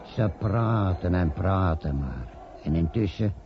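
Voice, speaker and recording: An actor playing a gloomy, devout bar customer, grave and world-weary, in a radio play. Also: male voice, low voice, animated, slow, exaggerated voice. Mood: ominous. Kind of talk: Dutch radio play acting. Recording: dull, slightly noisy old radio recording.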